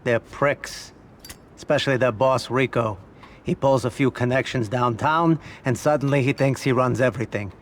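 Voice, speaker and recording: Calm, calculating older mob boss, acted by a man. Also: mid-range voice, slight background noise, lightly acted. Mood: measured, probing. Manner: measured, understated, authoritative. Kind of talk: video game cutscene acting.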